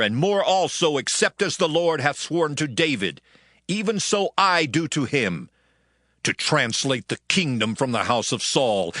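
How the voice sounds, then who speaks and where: A man's voice: mid-range voice, bright, forceful tone, dry recording, studio quality. A man playing a proud, wrathful ancient Hebrew army commander in a dramatized scripture reading, with declamatory archaic speech.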